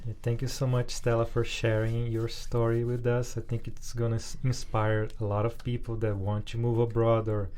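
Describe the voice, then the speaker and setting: A co-host of a podcast interview who thanks the guest. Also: female voice, a bass voice, level tone, moderate pace, few pauses, dark tone. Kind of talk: casual interview.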